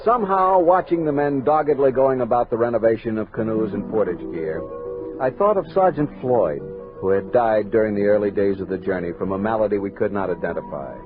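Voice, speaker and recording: A man's voice: fairly low pitch, lively intonation, narrow, tinny audio, natural voice. An actor with an American accent playing a grave, commanding army captain who narrates his expedition journal in a radio drama. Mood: sombre, brooding. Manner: measured, literary storytelling with crisp orders. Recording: band-limited 1960s studio radio recording with music.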